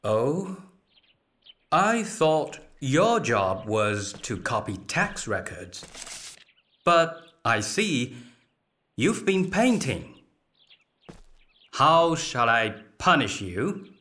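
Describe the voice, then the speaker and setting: An actor playing a calm, dignified emperor in a radio drama, speaking with measured authority. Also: male voice, mid-range voice, exaggerated voice. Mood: imperious, probing. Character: emperor, calm, dignified, commanding patron of painters.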